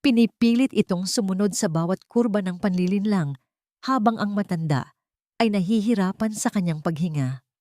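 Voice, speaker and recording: A woman's voice: mid-range voice, moderate pace, dark tone, no room sound, clean studio sound. A woman narrating a scripted dramatic story as a storyteller.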